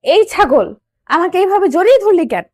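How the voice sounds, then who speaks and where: A woman's voice: extremely high pitch, sing-song, moderate pace, darkish tone, pitched above the performer's own voice. A woman voicing a feisty, brash young female university student, scolding sharply in a romantic audio story. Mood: indignant.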